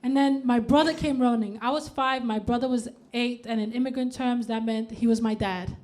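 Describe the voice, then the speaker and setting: High-voiced woman doing stand-up. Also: normal speed. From Denmark. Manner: deadpan delivery with punchy outbursts. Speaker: stand-up comedian. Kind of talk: stand-up comedy set. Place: comedy club stage with a live audience.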